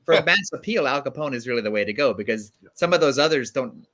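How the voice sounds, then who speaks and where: A man's voice: mid-range voice, lively intonation, fast talker, a bit bright. A man hosting a casual livestream panel conversation among several guests. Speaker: guest host of a livestream show.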